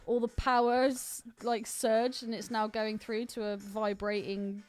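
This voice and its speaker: High-pitched British woman, cheeky podcast banter. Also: level tone, a little slow. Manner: cheeky, teasing, bawdy banter. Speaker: podcast co-host. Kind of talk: casual film commentary chat.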